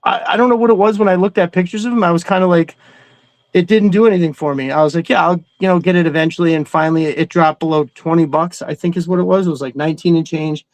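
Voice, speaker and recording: A man's voice: a little high, steady tone, quick pace, no room sound. A man hosting a casual livestream, rambling in a slangy, enthusiastic way. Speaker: host of a toy collecting livestream. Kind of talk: casual chat.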